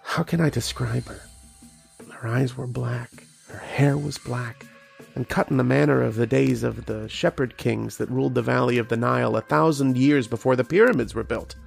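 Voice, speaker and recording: An actor playing an earnest, haunted archaeologist telling his uncanny tale in old-time radio horror style, with dramatic, deliberate pauses. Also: medium pitch, fairly even intonation, creaky, soft background music. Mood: brooding, haunted. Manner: dramatic first-person storytelling with deliberate pauses.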